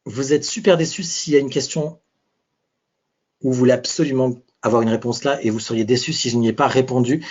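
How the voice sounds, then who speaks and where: A man's voice: mid-range voice, steady tone, a little fast, darkish tone, breathy edge. A man presenting a live webinar as a speaking coach, talking conversationally and thinking aloud with restarts. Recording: muffled webinar audio.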